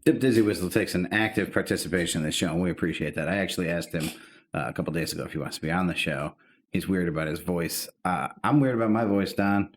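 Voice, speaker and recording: Low-voiced man cracking podcast jokes. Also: fast talker. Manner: rhyming roast intro, then loose joking chat. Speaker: podcast co-host. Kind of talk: casual podcast banter.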